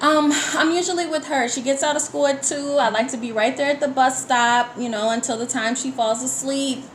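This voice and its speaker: A woman from the United States speaks as an interview guest in a fairly high voice. Her delivery is candid and conversational, like casual personal storytelling. The recording is roomy and echoey, with some light noise behind her. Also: brisk. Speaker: guest poet. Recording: echoey room with background noise.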